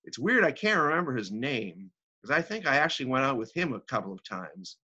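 This is a man acting out a wealthy, worldly divorced woman in a stage monologue. Her delivery is caustic and sardonic, leaning hard on choice words. The tone is bright and the pitch swings widely. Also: sing-song, breathy edge, muffled recording, exaggerated voice. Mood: wry, reminiscing. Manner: sardonic, profane, drawn-out emphasis. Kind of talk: theatrical dramatic monologue reading.

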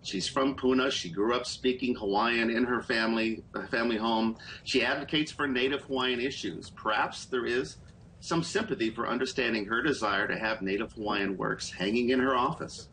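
A middle-aged man, a political reporter, explaining stories in a measured way during a live news interview over a video call. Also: medium pitch, sharp tone, a little hiss or hum, low-quality recording. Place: small room, indoors. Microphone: video call webcam.

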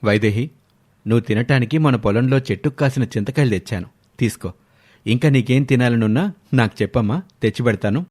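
A man voicing a kindly, doting older male relative in a family story, speaking gently and affectionately. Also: medium pitch, a little fast, slightly rough, voice pushed down, natural voice.

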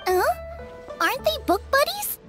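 Teasing teenage game girl, extremely high, animated, playful, nosy, music behind. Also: measured pace, exaggerated voice. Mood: teasing, curious. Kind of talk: scripted game dialogue.

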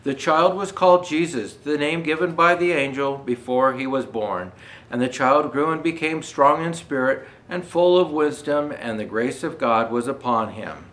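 Older man reading aloud, lively pitch swings. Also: moderate pace, poor audio. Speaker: storyteller dressed as Santa Claus. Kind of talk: reading a children's storybook aloud.

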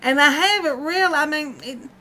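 A woman's voice: sits high, moderate pace, bright, forceful tone, a little hiss or hum. Chatty woman in her fifties. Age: 50s. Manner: rambling, chatty, laughs often. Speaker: vlogger. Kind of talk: casual personal vlog. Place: dim room at home, indoors. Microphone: webcam at close range.

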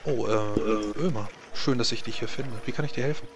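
A man voicing a gentle, consoling priestess in a tabletop roleplaying game, speaking patiently and soothingly. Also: medium pitch, normal speed, a crowd in the background, natural voice.

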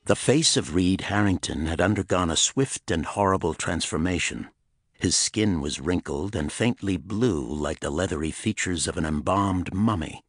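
Male audiobook narrator for a dramatic crime pulp: low register, husky grain, close dry recording. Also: normal speed, dry recording.

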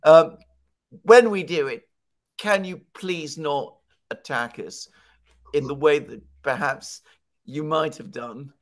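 A man's voice: fairly high pitch, slow, long pauses. A man in his sixties giving conversational political analysis, explaining emphatically and posing rhetorical questions. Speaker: political commentator. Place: home study with bookshelves. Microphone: headset on video call.

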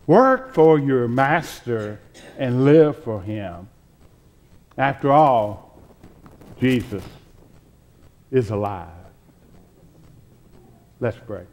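An older man in his sixties delivers a sermon from a church pulpit. His pace is very unhurried and his pitch sits in a middle range for a man. He sets out short phrases deliberately, with pauses between them. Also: big pitch swings, darkish tone. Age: 60s. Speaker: pastor. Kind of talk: sermon and spoken prayer.

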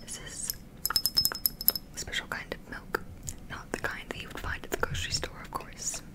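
Whispering young woman, ASMR roleplay. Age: young adult. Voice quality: breathy unvoiced whisper. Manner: gentle, unhurried instructing. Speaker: ASMR performer playing a witch teacher.

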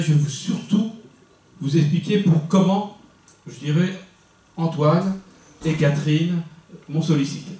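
A man in his sixties, a mayor, introducing himself at a public meeting in a measured way with frequent fillers. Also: fairly high pitch, warm tone, far from the mic. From Normandy, France. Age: 60s. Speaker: mayor, substitute candidate. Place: small meeting room, indoors. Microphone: handheld mic, filmed by a far camera. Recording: very echoey room heard from afar.

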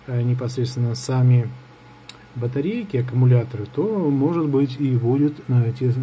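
With faint noise behind him, a man talks through a casual gadget review at a table at home. His pitch is average for a man. He improvises as he goes, hesitating and thinking aloud. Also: dark tone, low-quality recording. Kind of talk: casual hands-on product review. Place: indoors at a table in an apartment. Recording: clear background noise on home recording.

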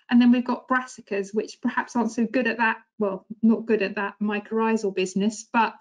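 A woman's voice: fairly high pitch, darkish tone, low-quality recording. A woman presenting a farming webinar over a video call, talking conversationally with many fillers. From England. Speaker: agronomist presenter. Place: indoor home office. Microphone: webcam video call.

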